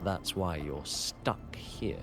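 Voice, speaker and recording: An actor playing a sinister shadow double in a video game, slow, smug and goading as he taunts and tempts. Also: sits low. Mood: taunting. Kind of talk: dramatic video game voice acting. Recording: game music behind the voice.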